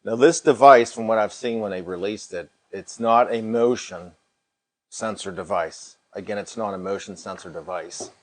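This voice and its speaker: This is a middle-aged American man with a fairly low voice. He hosts a casual livestream, showing off a product as he talks. His manner is folksy, and he tends to circle back and repeat his points. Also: normal speed. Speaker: host of a paranormal livestream.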